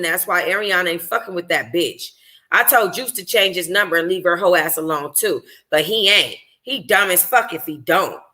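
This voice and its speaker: A woman reading a first-person novel aloud in an expressive, informal way. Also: medium pitch. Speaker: audiobook reader, book club host.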